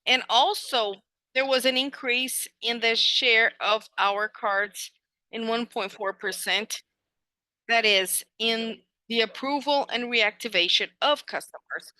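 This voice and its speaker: This is a woman with a bright, forceful voice, clean and crisp in tone. She sits slightly high in pitch and interprets a corporate presentation live, in halting chunks, one phrase after another. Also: fairly even intonation, dry recording, poor audio. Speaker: interpreter on an earnings call.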